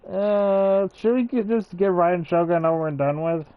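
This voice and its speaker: A man streaming a game, talking in unhurried, mumbled asides. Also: high voice, clear, resonant tone. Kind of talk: casual gameplay commentary. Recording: tinny phone-like audio.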